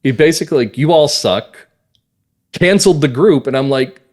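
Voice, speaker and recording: A man's voice: on the high side, lively intonation, normal speed, a little mellow. A man speaking as an interview guest, explaining things earnestly and emphatically in a conversational way. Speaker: guest playwright.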